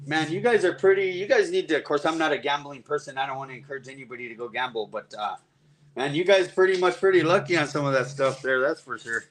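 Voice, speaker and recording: A man's voice: on the high side, fast, a bit bright, low-quality recording. A man hosting a live custom paint demo in his shop, folksy and easygoing, thinking aloud while he works.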